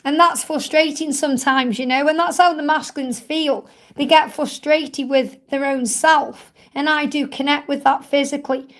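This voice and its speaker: A woman with a slightly croaky voice hosting a live spiritual card-reading stream, gently reassuring viewers and calling them honey. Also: high voice, fairly even intonation, moderate pace, hardly any pauses.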